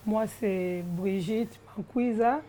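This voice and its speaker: A woman from DR Congo with a mellow, warm voice. She speaks very slowly and deliberately, introducing herself for a documentary. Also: medium pitch. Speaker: interviewee.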